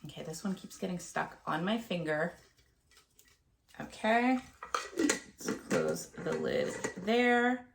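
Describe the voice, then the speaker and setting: A woman in her thirties talking casually to camera about her month's reading, a bit nasal and gravelly from a cold, with plenty of ums and uhs. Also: on the low side, huge pitch swings, takes it slowly, pauses often, noisy background. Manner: chatty, with frequent ums and uhs. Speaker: book vlogger.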